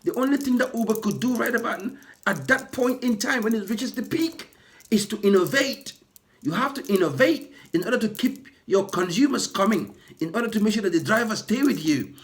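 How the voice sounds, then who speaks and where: A man who works as a ride-hailing driver, hoarse from losing his voice, delivering an indignant, repetitive rant to camera for his vlog. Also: high voice, lively intonation, fairly quick. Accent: British. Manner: indignant, repetitive, building to impassioned outbursts.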